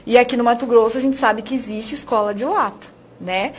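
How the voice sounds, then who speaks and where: A woman's voice: fairly high pitch, brisk, slight background noise. A Brazilian woman asking conversational questions as the interviewer on a television programme.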